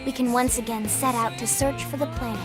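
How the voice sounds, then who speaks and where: A woman plays a resolute, hopeful female narrator in a video game story. Her voice sits fairly high, delivering dramatic scripted lines over clearly audible music. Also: fairly even intonation, brisk, natural voice. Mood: hopeful, solemn.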